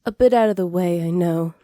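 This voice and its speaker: A woman playing a flirty, hospitable witch in an ASMR roleplay, soft-spoken and teasing, sly and self-assured, sometimes dropping toward a whisper. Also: on the low side, normal speed, dry recording, studio quality, natural voice. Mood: welcoming. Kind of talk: ASMR roleplay, slow scripted acting.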